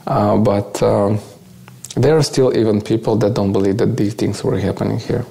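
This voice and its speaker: A man with a low, gravelly voice and a mellow tone speaks with a Bulgarian accent. He is an interview guest telling of his experiences as a volunteer, haltingly searching for words in a second language. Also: fairly even intonation. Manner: halting, searching for words in non-native English. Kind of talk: conversational live talk, recounting experiences.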